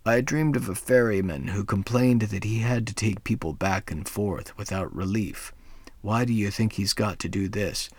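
A man voicing an old grandmother, gruff but kindly, talking softly and low. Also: moderate pace, dry recording. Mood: coaxing.

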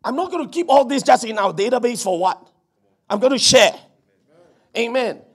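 A middle-aged man with a Singaporean English accent, preaching and praying fervently, his voice hoarse from preaching. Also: very high-pitched, expressive, normal speed, a bit bright. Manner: fervent, repetitive, peppered with "Amen". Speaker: pastor. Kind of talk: sermon with prayer. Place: church service.

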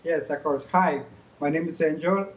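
A man from Ecuador with an Ecuadorian Spanish accent, a native Spanish speaker, answering as a podcast guest, earnest and halting. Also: on the high side, lo-fi sound. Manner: earnest, halting, searching for words. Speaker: guest runner. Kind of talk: podcast interview.

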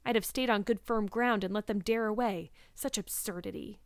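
A woman's voice: medium pitch, normal speed, no room sound, natural voice. A woman voicing a stern, sensible older woman in a storybook, curt, scolding and no-nonsense. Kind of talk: storybook character voice.

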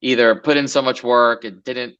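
A man in his forties from Los Angeles talks through his story as an interview guest, looping and relaxed with plenty of fillers. His voice is bright and forceful at a middling pitch. The recording is somewhat dull and lo-fi. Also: moderate pace, clear, resonant tone. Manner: relaxed, rambling, many fillers. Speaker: guest entrepreneur. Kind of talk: casual interview chat.

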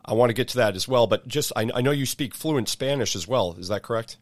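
A man's voice: medium pitch, quick pace, no room sound. A man hosting an interview, asking enthusiastic, leading questions. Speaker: interview host.

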